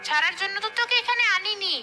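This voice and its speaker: A woman's voice: extremely high pitch, quick pace, sharp tone, soft background music, exaggerated voice. A woman voicing a bitter, jealous, menacing female ghost in a cartoon, complaining and threatening. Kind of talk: cartoon voice acting.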